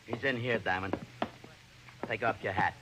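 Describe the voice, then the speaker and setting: An actor playing a dim-witted, blustering police sergeant, in 1940s radio comedy-detective style. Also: male voice, fairly low pitch, close to an everyday voice. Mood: stiffly formal. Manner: slow-witted, blustering, ungrammatical. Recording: noisy old-time radio recording.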